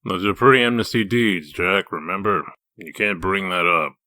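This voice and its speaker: A man playing a gruff, sardonic wolf detective in human form, dry and needling, in a comic dub. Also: low-pitched, moderate pace, noisy background, lightly acted. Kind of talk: comic dub dramatic acting.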